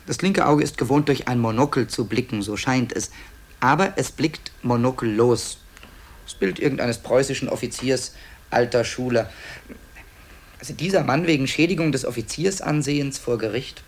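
A man's voice: medium pitch, normal speed. A man narrating a radio play in a conversational way, musing and hesitating, with wry asides. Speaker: author-narrator presenting tapes to listeners.

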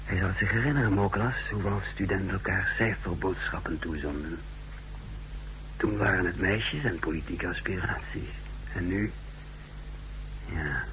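Casting: brooding spy, low voice, measured monologue, noisy vintage radio recording. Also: male voice, fairly bright tone. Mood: brooding, calculating. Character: secretive, scornful male spy recounting his mission. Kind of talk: 1960s radio drama monologue and narration.

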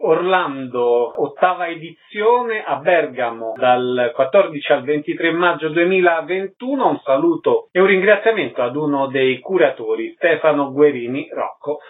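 A man hosts a radio show in a fairly high voice. He is concise and announcer-like as he presents and asks questions. The sound is slightly lo-fi. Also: expressive, moderate pace, hardly any pauses. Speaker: radio host, interviewer. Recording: slightly dull, lo-fi audio.

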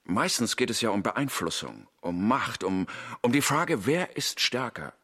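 A suspicious male astronaut in a radio drama. His voice is lowish and husky, with a tense edge. He pushes his schemes insistently and conspiratorially, with lively swings in pitch. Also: measured pace, full character voice. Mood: brooding. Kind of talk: German radio play acting.

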